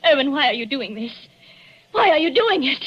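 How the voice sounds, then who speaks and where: A woman's voice: sits high, sing-song, moderate pace, a little hiss or hum. An actress playing an anxious young wife who pleads with her husband, in 1940s radio acting with a dull old sound. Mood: frightened.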